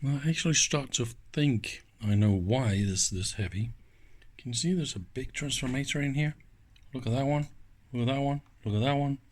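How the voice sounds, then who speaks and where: A man giving casual, hands-on teardown commentary as a video host, informal and enthusiastic, exclaiming and thinking aloud, with a slightly husky voice. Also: mid-range voice, moderate pace.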